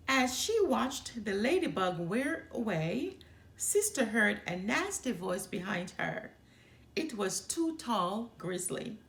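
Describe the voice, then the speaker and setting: A woman reading a children's picture book aloud as a storytime host, with deliberate, expressive delivery. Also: fairly high pitch, creaky, echoey room.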